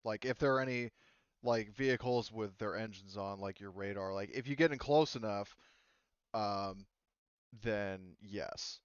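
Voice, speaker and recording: A man's voice: lowish voice, unhurried, clean tone, no room sound. A man running a tabletop game, explaining rules casually and hesitantly with many hedges and filler likes, on slightly dull close-mic audio. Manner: hesitant, hedging, repeats "like" often. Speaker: game master.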